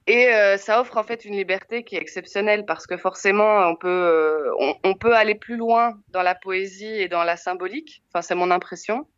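A woman's voice: medium pitch, normal speed, hardly any pauses, a bit bright, smooth, no room sound, highs cut off. A Belgian woman film director, answering interview questions in a hesitant way with many fillers and restarts. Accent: Belgian French.

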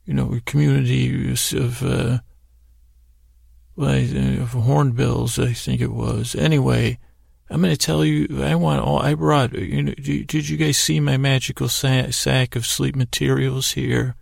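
A man hosting a sleep podcast with a whimsical, meandering bedtime monologue, stammering and repeating words as he goes in a gravelly, creaky voice. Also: medium pitch, little pitch movement, unhurried, no echo, clean studio sound. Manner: whimsical, gently addressing imagined listeners. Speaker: podcast host.

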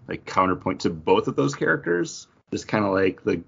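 A man's voice: on the low side, sing-song, normal speed, vocal fry, husky, highs cut off. A man co-hosting a podcast, chatting casually as he reasons out quiz answers. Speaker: podcast co-host, quiz contestant.

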